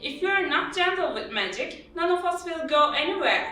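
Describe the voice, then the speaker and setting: A woman playing a quick-tempered, scolding old fairy in a readers theatre performance. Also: very high voice, expressive, pushed and bright, far from the mic, full character voice. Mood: cross, scolding. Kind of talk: readers theatre stage reading. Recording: echoey room from afar, music behind.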